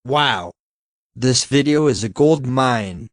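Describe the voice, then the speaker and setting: A man's voice: mid-range voice, animated, slow, no room sound, studio quality. A man, a native Finnish speaker, giving blunt, sarcastic reaction commentary on a video and swearing now and then.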